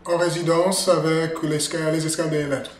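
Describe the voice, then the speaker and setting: A man with an American accent, a native English speaker, introducing himself as a writer in residence in halting speech full of restarts. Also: on the high side, little pitch movement. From United States. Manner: halting, with frequent restarts and repeated words. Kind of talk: casual self-introduction. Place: on a river barge. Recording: echoey room with faint ambient noise.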